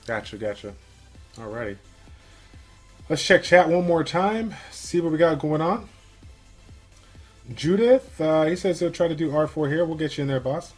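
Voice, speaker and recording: A man with a slightly high voice hosts a game livestream, reading viewer questions aloud and chatting through his answers. He talks loosely, with plenty of fillers. Faint game music plays underneath. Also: lively intonation, normal speed, pauses often. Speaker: stream host.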